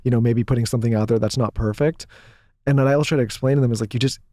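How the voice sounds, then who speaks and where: Podcast host, quick and mellow, thinks aloud through long questions in a dry room. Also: male voice, medium pitch, fairly even intonation, no room sound. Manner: reflective, rambling questions with many fillers. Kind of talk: casual interview talk.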